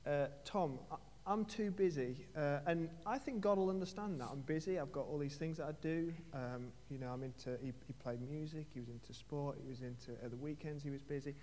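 A man's voice: on the high side, brisk, few pauses, dark tone, slight background noise. A man interviewing a guest, asking long, rambling questions with frequent hesitations. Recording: echoey hall.

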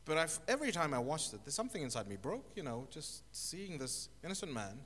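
A middle-aged man from Zimbabwe, a guest telling his life story in an interview, with thoughtful, halting speech and many restarts. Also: fairly high pitch, big pitch swings, fairly bright tone. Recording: echoey hall with faint noise.

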